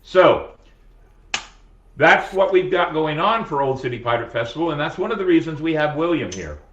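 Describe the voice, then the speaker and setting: A man's voice: a little high, lively intonation, normal speed, fairly bright tone, a little hiss or hum. A man hosts a casual podcast chat, rambling and correcting himself as he jokes along. He sits well back from the mic in an echoing room. The sound is dull and lo-fi. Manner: rambling, self-correcting, jokey. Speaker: podcast host. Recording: roomy, distant, dull lo-fi sound.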